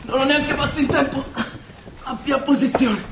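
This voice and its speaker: An actor playing a wounded, fervent young volunteer soldier in a radio drama. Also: male voice, very high voice, steady tone, normal speed. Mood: feverish, fervent. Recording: echoey stage recording with hiss.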